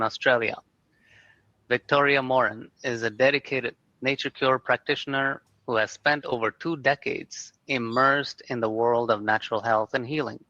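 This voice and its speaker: A man hosting a podcast, asking questions and reading formal guest introductions in a measured way. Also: mid-range voice, pushed and bright, dry recording. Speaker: podcast host. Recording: dry, dull lo-fi mic.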